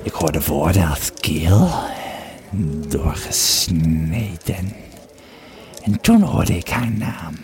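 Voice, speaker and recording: A man playing a tipsy old soldier of Rome, telling his war tales haltingly in an audio drama. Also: sits low, heavily acted. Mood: tipsy reminiscing. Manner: halting, drunken musing with hesitations. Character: tipsy Roman legionary veteran recounting old war tales. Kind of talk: amateur audio drama storytelling. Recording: crowd chatter behind the voice.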